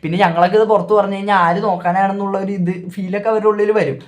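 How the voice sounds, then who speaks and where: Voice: medium pitch, fairly even intonation, rapid-fire. A reaction video host with a Kerala accent, giving opinionated, repetitive casual commentary.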